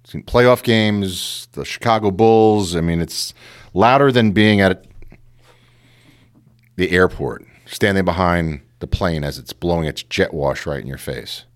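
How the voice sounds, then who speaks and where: Animated low-voiced New man. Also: a little slow. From Queens, New York. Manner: animated, acts out voices, lots of "you know". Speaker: guest. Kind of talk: casual storytelling.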